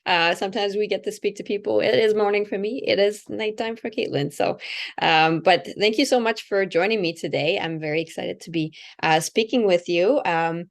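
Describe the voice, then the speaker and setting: A woman's voice: medium pitch, normal speed, vocal fry. A woman hosting a video call interview, asking questions in a friendly, encouraging way with many fillers. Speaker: host, interviewer. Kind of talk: casual interview chat.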